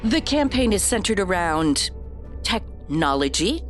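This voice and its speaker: An actress playing a polite, professional, deferential campaign strategist in an audio drama. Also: female voice, medium pitch, very animated, unhurried, over music. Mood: polite, eager.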